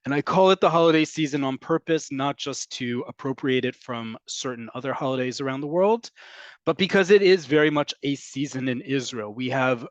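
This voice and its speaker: A man's voice: mid-range voice, brisk, dry recording. A man from North America teaching an online class over a video call, explaining at length in a conversational way with frequent ums. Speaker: Hebrew teacher.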